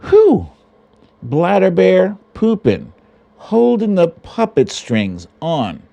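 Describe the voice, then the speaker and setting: A man hosts a livestream storytime in a very animated voice that swings widely in pitch. He takes his time, stretching out phrases in a mocking storybook manner, then slips into casual chat with his viewers. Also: gravelly. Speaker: host of a live online storytime show.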